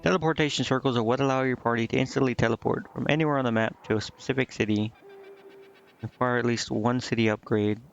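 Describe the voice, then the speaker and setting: A man's voice: medium pitch, fairly even intonation, fast, vocal fry. A man streaming a game, giving casual, informal commentary and reading aloud, often muttering to himself. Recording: muffled lo-fi stream audio over game music. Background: game music.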